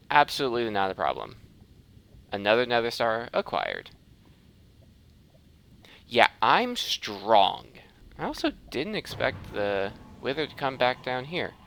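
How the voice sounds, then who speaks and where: A man's voice: mid-range voice, creaky, fairly bright tone. A man giving casual gameplay commentary, rambling and thinking aloud as he plays. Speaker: gaming video host.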